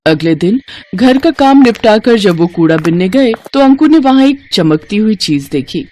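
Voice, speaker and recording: A woman's voice: medium pitch, expressive, normal speed, dark tone, a little hiss or hum. A woman narrating a scripted moral story as its storyteller.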